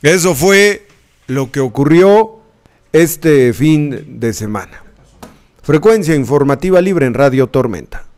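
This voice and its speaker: A man, presenting radio news from a studio mic in a measured, emphatic way. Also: fairly high pitch, lively intonation, darkish tone. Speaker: news anchor. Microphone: studio mic on arm.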